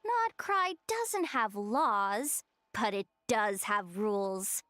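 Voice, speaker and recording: A woman's voice: mid-range voice, lively intonation, slow, fairly bright tone, no echo, studio quality. A woman voicing a feisty, cheeky young inventor girl in a video game. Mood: indignant.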